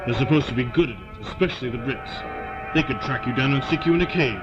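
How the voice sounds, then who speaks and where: Guy playing blunt army colonel in an audio drama, regular pitch, casual talk, loud music behind. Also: fairly quick, dull audio, natural voice. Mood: wary, grim. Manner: plain-spoken, colloquial. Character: hawkish American military colonel, informal and bitter.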